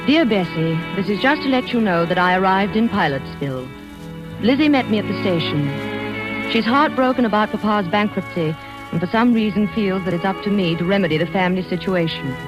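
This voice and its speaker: A woman's voice: mid-range voice, animated, fairly quick, natural voice. An actress playing a genteel, impressionable young Victorian lady in an earnest, polite 1940s radio style. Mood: confiding. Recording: dull old radio recording, music behind.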